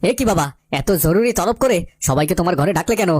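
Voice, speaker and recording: A woman's voice: on the low side, lively intonation, fast, natural voice. A woman voicing an old man's grown sons in a cartoon folk tale, dramatic and emphatic. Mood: demanding. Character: adult sons of an old man, quarrelsome brothers. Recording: loud music behind the voice.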